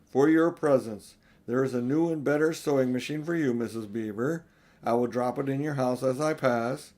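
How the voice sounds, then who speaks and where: A man playing a big, solemn, kindly old Father Christmas, speaking in measured, emphatic pronouncements for a storybook. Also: medium pitch, darkish tone, lightly acted. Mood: benevolent.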